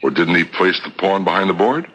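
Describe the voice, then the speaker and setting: Shrewd investigator, very deep voice, rough-edged, deliberate, folksy, vintage radio mystery. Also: male voice, lively intonation, a bit bright, exaggerated voice. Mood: probing. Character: shrewd, patient male investigator piecing together a murder. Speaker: radio actor. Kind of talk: 1970s radio mystery acting. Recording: old radio broadcast recording.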